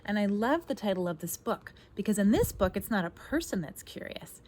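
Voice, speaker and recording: A woman reads a picture book aloud expressively, pausing to put guiding questions to young listeners. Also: medium pitch, sing-song, a little mellow. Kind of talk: expressive children's read-aloud with asides. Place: outdoors in a backyard garden. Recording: outdoor recording, faint noise, birdsong. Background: birdsong, faint outdoor noise.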